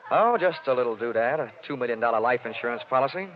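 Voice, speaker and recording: Man acting hard-boiled investigator, American accent, medium-pitched, sardonic, wisecracking, 1940s radio drama, muffled vintage sound. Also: expressive, fast, a little hiss or hum, natural voice. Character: wry, tough male freelance insurance investigator, hard-boiled.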